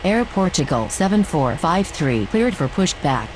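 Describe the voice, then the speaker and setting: A woman's voice: lowish voice, big pitch swings, unhurried, faint music behind, poor audio. A woman voicing pilots and ground controllers in formal, scripted radio phraseology. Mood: businesslike. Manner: formal, procedural delivery.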